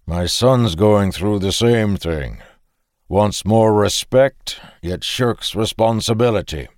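A man voicing a stout, middle-aged male farmer who talks in a deep, brusque and condescending way. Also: takes it slowly, dry recording, clean studio sound. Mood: fatherly. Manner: brusque, loud, fatherly.

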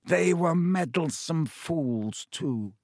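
A man voicing a cold, sneering aristocratic wizard who talks slowly and with quiet menace. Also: sits high, very animated, breathy edge, no room sound, clean studio sound, pitched above the performer's own voice, heavily acted. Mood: menacing. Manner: soft and menacing, slow.

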